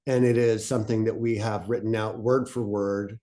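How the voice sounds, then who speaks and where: A man's voice: on the low side, level tone, normal speed, dark tone. A man giving a casual, unscripted workshop talk as a panelist, explaining things step by step in a conversational way. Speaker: panelist presenting on fiction podcast pitching.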